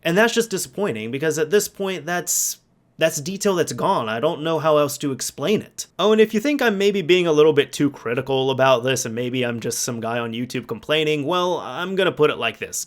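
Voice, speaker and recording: A man doing casual, conversational commentary about video games, speaking emphatically like an exasperated fan. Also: fairly high pitch. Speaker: YouTube game commentator.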